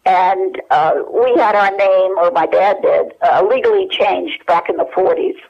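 An older woman telling anecdotes as an interview guest, in a halting, conversational way over a telephone. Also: mid-range voice, vocal fry. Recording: dull telephone line.

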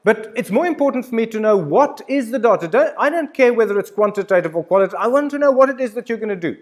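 An older man in his sixties delivers a conversational lecture. His voice sits a little high for a man and moves up and down with great animation. He addresses the listener directly and drives his points home emphatically. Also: lively intonation, fast talker. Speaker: university lecturer. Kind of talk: informal instructional lecture with storytelling examples. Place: indoor studio with plain backdrop.

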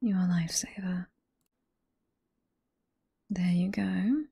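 Casting: actress as teasing older female librarian, British accent, ASMR roleplay. Hushed, very airy whisper, slow and sweet. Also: lowish voice, no echo, clean studio sound, full character voice. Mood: grateful, sweet. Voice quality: soft, breathy whisper.

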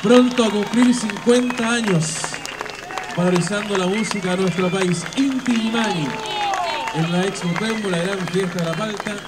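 High-voiced festival announcer. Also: male voice, very noisy. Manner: emphatic, drawn-out announcer delivery with repeated fillers. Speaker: event MC. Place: outdoor festival stage. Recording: live PA sound with loud crowd.

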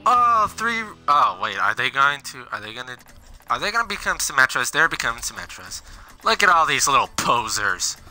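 A man's voice: mid-range voice, big pitch swings, moderate pace, sharp tone. A teenage boy chatting sarcastically with friends while playing a game, with game music faintly behind. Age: teens. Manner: sarcastic, theatrical. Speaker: gamer. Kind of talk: casual chat while playing.

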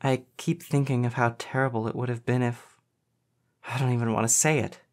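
A man voicing an earnest, expressive and caring teenage girl in audiobook dialogue. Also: medium pitch, brisk, voice pushed up, lightly acted.

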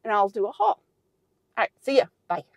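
A woman's voice: mid-range voice, big pitch swings, brisk, dry recording. A woman in her sixties chatting to her phone from a car at night, laughing at herself. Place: inside a parked car at night. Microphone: phone held close.

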